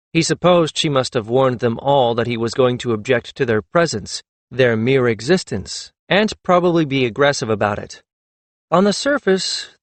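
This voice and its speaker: A man narrating a fantasy audiobook in a measured, even storytelling manner, close to the microphone. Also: medium pitch, no room sound.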